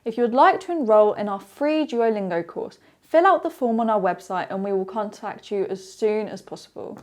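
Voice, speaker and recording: A woman's voice: mid-range voice, normal speed. British woman presenting an exam webinar. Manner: steady explanatory, walking through slides with frequent "so" restarts. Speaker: English exam trainer. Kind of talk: webinar presentation over slides.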